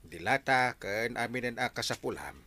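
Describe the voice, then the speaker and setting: Lively Ilocano village man, played by an actor. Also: mid-range voice, normal speed. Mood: caring, chatty. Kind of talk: comedy drama acting.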